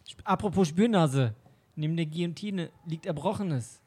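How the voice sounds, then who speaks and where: A man's voice: a little high, moderate pace, a little mellow, husky, dry recording. A man playing a young detective's assistant, dutiful but cheeky, in an improvised audio drama. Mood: inquisitive.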